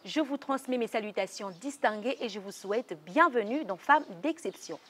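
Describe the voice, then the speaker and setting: A woman hosting a TV interview show in a studio, formal and polite, with some halting restarts. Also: on the high side, expressive, fairly bright tone, slightly rough. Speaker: talk show host.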